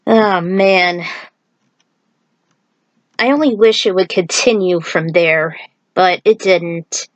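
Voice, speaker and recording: A woman's voice: on the low side, takes it slowly, pauses often, dry recording, low-quality recording. A woman narrating a story as its author, with casual asides. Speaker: fan fiction author and reader.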